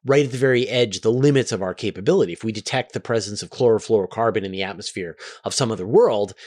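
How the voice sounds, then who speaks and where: A man hosting a question-and-answer show, explaining things conversationally in an animated way with rhetorical questions. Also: medium pitch. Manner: animated, rhetorical questions, acts out imagined quotes. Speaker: host of a space Q&A show.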